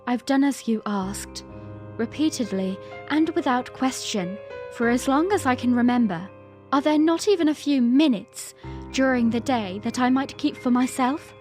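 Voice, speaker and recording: A woman playing a young princess who narrates her own story in a formal, reflective audio drama style, earnest and weary with a quiet defiance. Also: sits high, normal speed, lightly acted. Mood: frustrated, pleading. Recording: long reverb, music underneath. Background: music.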